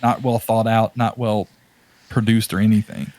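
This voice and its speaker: A man's voice: lowish voice, flat delivery, moderate pace, a little mellow. A man co-hosting a podcast, chatting casually and giving opinions in a blunt, informal, tongue-in-cheek way. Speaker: podcast co-host.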